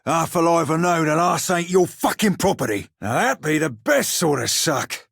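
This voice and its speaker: An actor playing a small, roguish, salty pirate shiphunter in a video game, with a husky, rough voice and wry nautical slang. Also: male voice, a little high, no room sound, clean studio sound. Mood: uneasy, irreverent. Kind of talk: video game character voice lines.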